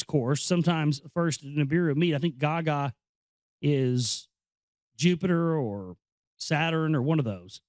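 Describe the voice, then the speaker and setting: A man hosting an informal read-aloud, explaining and commenting in a halting, conversational way. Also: on the high side, no echo, clean studio sound. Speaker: host reading a book aloud with commentary. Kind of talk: informal reading with off-the-cuff commentary.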